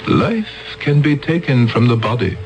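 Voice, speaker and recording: A cold alien elder. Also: male voice, mid-range voice, faint music behind. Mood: grave. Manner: calm, cold, measured.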